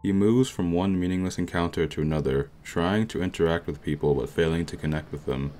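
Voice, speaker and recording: A man's voice: deep voice, a little fast, a little mellow, slight background noise. A man reading a scripted, analytical video essay as narrator, with instrumental music behind him.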